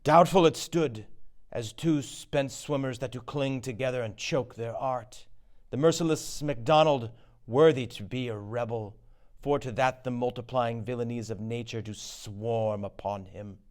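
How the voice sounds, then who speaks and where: A man's voice: mid-range voice, a little slow, a little mellow, close to an everyday voice. A man playing a wounded soldier in a stage tragedy, reporting battle in a grave, declamatory manner. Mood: grave, intense.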